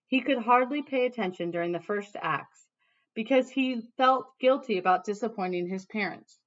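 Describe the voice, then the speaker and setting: A woman teacher reading a storybook aloud to students in an animated, emphatic way, with casual asides. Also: on the high side, muffled recording.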